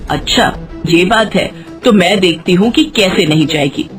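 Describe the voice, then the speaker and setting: A woman's voice: lowish voice, moderate pace, lightly acted. A woman voicing a nagging, suspicious middle-aged village mother-in-law with a scolding, pointed manner in an acted story. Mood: suspicious, stern. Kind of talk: Hindi moral story acting. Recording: music behind the voice.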